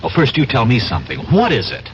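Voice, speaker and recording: Sardonic, animated American reporter. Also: male voice, mid-range voice, quick pace. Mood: wry, wary. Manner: wry, blunt, defiant. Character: stubborn, sardonic American reporter caught in intrigue. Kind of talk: 1970s radio drama acting. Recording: dull, noisy old radio broadcast.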